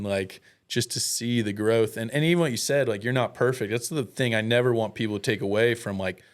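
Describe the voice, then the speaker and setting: An American man talking as a guest in a casual, candid way, telling personal stories with earnest, self-deprecating, slangy speech. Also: mid-range voice. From United States.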